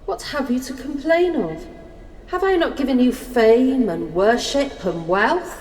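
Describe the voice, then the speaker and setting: An actress playing Life as a cool, proud, imperious spirit, declamatory in a theatrical stage style. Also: female voice, high-pitched, animated, measured pace, darkish tone. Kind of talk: theatrical stage morality play acting. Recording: echoing hall, faint noise.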